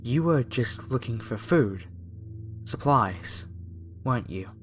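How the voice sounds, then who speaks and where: A man's voice: mid-range voice, expressive. A man playing a menacing, reverent cult fanatic in a horror ASMR roleplay, speaking to a captive in a theatrical, falsely soothing way. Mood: regretful menace. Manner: slow, theatrical, preacher-like, softly coaxing. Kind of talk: horror ASMR roleplay, scripted monologue to the listener. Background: faint music.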